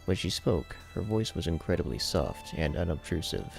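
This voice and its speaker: A man narrates an audiobook in a very deep voice with heavy vocal fry. His serious, dramatic reading moves through a wide range of pitch. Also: sing-song, moderate pace, a little mellow, faint music behind.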